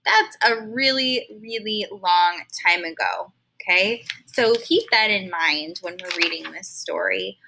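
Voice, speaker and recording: A woman reading a children's story aloud like a teacher, expressive and stopping now and then to explain words in simple terms. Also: mid-range voice, measured pace, gravelly, few pauses, noisy background.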